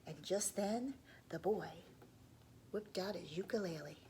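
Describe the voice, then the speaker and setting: A woman telling a story to young children in an expressive read-aloud style, often dropping into hushed asides to her listeners. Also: fairly low pitch, measured pace, noisy background.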